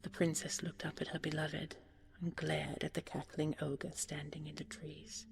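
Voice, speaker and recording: Woman storyteller, soft bedtime narration, breathy, low pitch, creaky edges, very unhurried, faint music behind. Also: level tone, in a whisper. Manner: hushed, breathy, soothing. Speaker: audio roleplay storyteller. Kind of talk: soft scripted bedtime fairy-tale narration for sleep.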